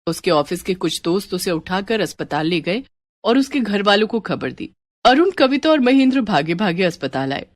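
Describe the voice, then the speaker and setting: A woman's voice: medium pitch, sing-song, moderate pace, no echo. A woman reading a scripted story as its narrator.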